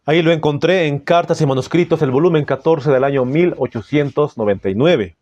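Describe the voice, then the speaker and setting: A man with a Bolivian Spanish accent preaching in a solemn, didactic way, reading out passages with deliberate phrasing. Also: fairly high pitch, a little mellow, no room sound, muffled recording. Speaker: Adventist preacher. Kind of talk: sermon with scripture and book readings.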